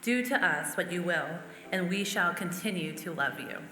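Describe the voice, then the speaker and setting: A woman's voice: lowish voice, animated, moderate pace, sharp tone. A woman reading out famous quotations as a church member. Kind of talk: recited quotations. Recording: echoey hall, faint music. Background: faint music.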